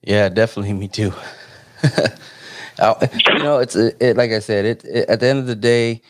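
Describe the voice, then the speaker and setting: A low-voiced man in his forties hosts a live interview and talks in an easygoing, rambling way. He comes from San Jose, California. His voice is dry and close, with no room sound. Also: moderate pace, dry recording. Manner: laid-back, meandering. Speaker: host.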